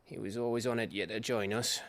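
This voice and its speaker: Blunt scout. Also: male voice, lowish voice, fairly even intonation, a little fast, creaky, a bit bright. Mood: brisk, practical. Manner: blunt, colloquial, earthy. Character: rough-spoken, loyal rebel scout. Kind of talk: video game cutscene acting.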